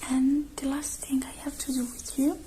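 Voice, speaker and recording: Female ASMR roleplayer, soothing technician part: high-pitched soft whisper, mellow tone, close mic. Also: little pitch movement, moderate pace, slight background noise. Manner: soothing, personal attention addressed to the listener. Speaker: ASMR creator playing a robot repair technician. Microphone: close ASMR microphone.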